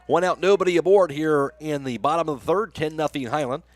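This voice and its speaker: A man's voice: a little high, brisk, dry recording. An American man doing live radio play-by-play commentary of a baseball game.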